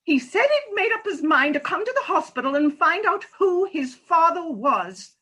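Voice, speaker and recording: Woman acting a high-pitched, distressed mother. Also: sing-song, moderate pace, bright, forceful tone, heavily acted. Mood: earnest, distressed. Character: distressed unmarried mother, a nurse, pleading earnestly. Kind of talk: comic farce readers theatre.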